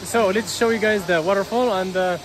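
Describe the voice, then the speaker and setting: A man's voice: high-pitched, fairly even intonation, fairly quick. Chatty male travel vlogger outdoors. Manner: chatty, addresses viewers as guys. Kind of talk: casual travel vlog. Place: outdoors by a waterfall and rice fields. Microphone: handheld camera at arm's length. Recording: noisy outdoor recording.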